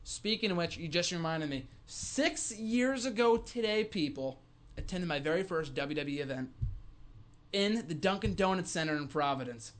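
A man's voice: a little high, expressive, normal speed, a bit bright. A man in his early twenties co-hosting a wrestling podcast, chatting casually and enthusiastically and repeating phrases for emphasis. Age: early 20s. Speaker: co-host of a pro wrestling fan podcast. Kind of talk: casual sports fan chat.

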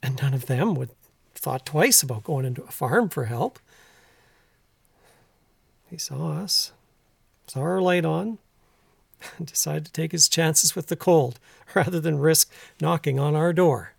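An actor with a Canadian accent playing a troubled, earnest middle-aged rural husband in an audio drama, speaking plainly and haltingly. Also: male voice, on the high side, vocal fry, breathy edge, no room sound, full character voice. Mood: hushed, troubled. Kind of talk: naturalistic audio drama acting.

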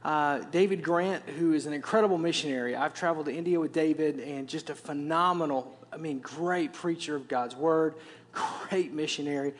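A man's voice: a little high, moderate pace. A man with a Wisconsin accent preaching a sermon as senior pastor, conversational, with joking asides and repeated words. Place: large church auditorium. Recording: long hall reverb.

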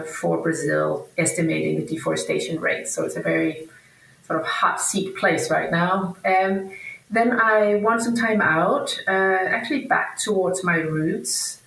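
A woman in her fifties from Denmark, a native Danish speaker, answers interview questions conversationally, thinking aloud in a halting, hesitant way. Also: on the low side, creaky, a bit bright. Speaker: podcast guest, researcher and academic. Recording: roomy echo, distant from microphone.

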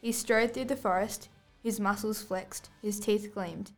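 A woman's voice: mid-range voice, steady tone, unhurried, soft background music. A girl of about eleven or twelve reading scripted storybook narration aloud as a student podcast host. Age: child, about 11 to 12.

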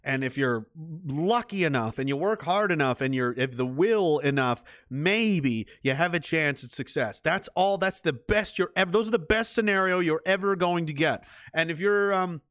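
Man, livestream host, rambling heated talk, fairly high voice, dry close sound. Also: lively intonation, normal speed, few pauses, a bit bright, dry recording. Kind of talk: casual rambling commentary.

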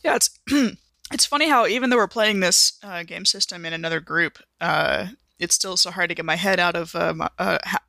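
A woman plays a tabletop game in casual talk and role-play. Her voice is bright and forward with a noticeable creak, and it is recorded dry and close. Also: lowish voice, moderate pace, dry recording.

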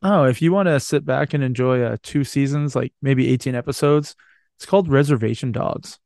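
A man's voice: mid-range voice, brisk, creaky, darkish tone, dry recording, clean studio sound. A man hosting a podcast in casual chat, rambling and joking, with a casual swear now and then. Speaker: podcast host.

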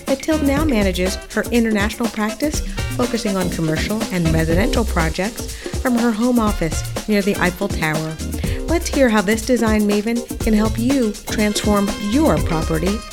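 A woman's voice: mid-range voice, lively intonation, moderate pace, over loud music. A woman hosting a property podcast, reading formal introductions and asking interview questions. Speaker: podcast host.

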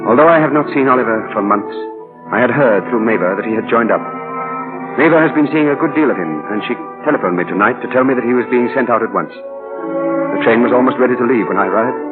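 A man's voice: mid-range voice, lively intonation, fairly quick, natural voice. An actor playing a dignified, earnest middle-aged father hurt by his grown son, in 1940s radio style. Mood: sorrowful. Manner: earnest, formal, emotional. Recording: muffled 1940 radio broadcast, music.

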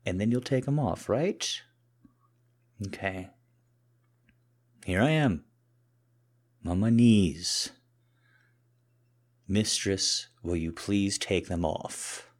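A man acts out a boyfriend in an intimate audio roleplay, talking straight to his lover. His voice is low and husky, and he takes his words very slowly. The delivery is halting and conversational, as in a private exchange between partners. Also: a little mellow. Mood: flustered, irritated, pleading. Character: cocky boyfriend, flustered and pleading, turning softly submissive. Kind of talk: intimate solo audio roleplay addressed to the listener.

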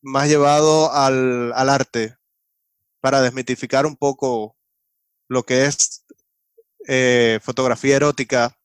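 A man from Cuba with a Cuban Spanish accent, a guest on a podcast explaining his work in casual, relaxed talk. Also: mid-range voice, slow pace, long pauses, smooth, no room sound. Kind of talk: casual interview chat.

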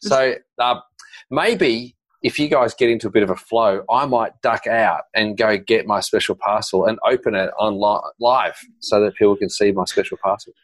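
A man's voice: lowish voice, normal speed, no room sound, rough recording. A man from New Zealand co-hosting a podcast, joking around and laughing often in casual chat. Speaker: podcast co-host.

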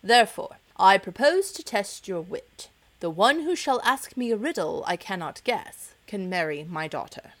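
A woman voicing a shrewd, jovial old male king in a storybook reading, speaking in a booming, proclamatory way. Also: big pitch swings, normal speed, few pauses. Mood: regal.

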